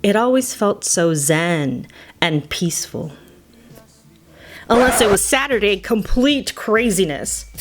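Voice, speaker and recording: A woman's voice: mid-range voice, expressive, takes it slowly. Woman telling nostalgic stories over music. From United States. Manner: playful, nostalgic, slipping into Spanish phrases. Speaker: storyteller. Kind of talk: personal scripted storytelling narration.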